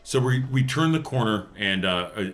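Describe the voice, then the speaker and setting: A man's voice: on the low side, animated, normal speed, fairly bright tone. A man playing a tabletop role-playing game, chatting casually with some background noise.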